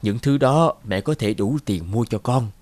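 A man voicing a gentle, hard-up mother in a cartoon, patiently coaxing in a hesitant way. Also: on the low side, lively intonation, moderate pace. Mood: gentle pleading. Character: gentle, hard-up mother reasoning with her small son. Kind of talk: cartoon dub acting.

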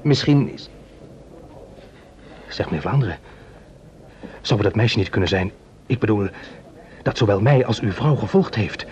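A man playing a polite, hesitant, apologetic gentleman in a vintage Dutch radio drama. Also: lowish voice, lively intonation, moderate pace, long pauses, close to an everyday voice. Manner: hesitant, repeats words. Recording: muffled old radio recording, music behind.

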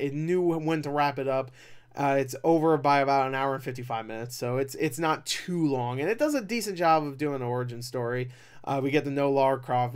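A young man reviews movies in a voice pitched a little above average. He talks casually and without a script, rambling along with animated asides and a self-deprecating streak. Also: clear, resonant tone. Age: young adult. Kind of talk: casual unscripted review chat. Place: small cluttered room at home, indoors.

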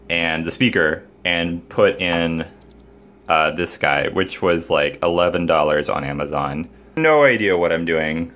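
A man's voice: low-pitched, a bit bright. A man talking casually and enthusiastically to viewers as he hosts a hobby project vlog, recorded indoors at home. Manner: informal, enthusiastic. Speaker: DIY video maker, host. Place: indoors, small home room.

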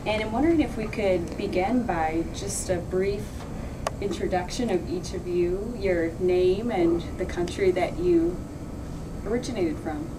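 A woman's voice: on the low side, unhurried. A woman in her thirties moderating a roundtable discussion, phrasing things formally and carefully in a conference room. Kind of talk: measured panel moderation. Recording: distant mic, hissy room ambience.

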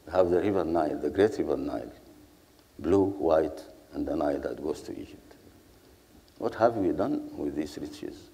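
An older man with a Sudanese accent speaking as a panelist, in a measured, deliberate way with earnest rhetorical repetition. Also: very deep voice, mellow. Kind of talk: panel speech, earnest public address. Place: seated on a stage in a large hall. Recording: echoey hall with long reverb.

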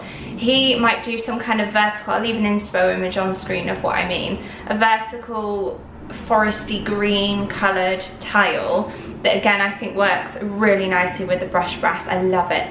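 A woman's voice: mid-range voice, noisy background. A young woman chatting casually and enthusiastically about her home renovation, with lots of "like" and "um". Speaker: vlogger. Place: house under renovation, indoors. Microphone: camera held or set close, front-facing.

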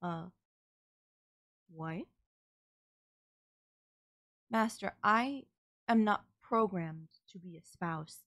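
Casting: actress as female android servant for audio roleplay, polite and emotionless, lowish voice, very measured and halting, dry close sound. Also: a bit bright, no echo, exaggerated voice. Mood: confused, inquiring. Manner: formal, stilted, halting, literal delivery. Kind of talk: scripted audio roleplay, ASMR.

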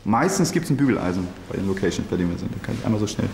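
Relaxed low-voiced man chatting backstage. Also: racing, gravelly, a little hiss or hum. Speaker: singer and band founder. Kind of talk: casual interview. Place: backstage and hotel room.

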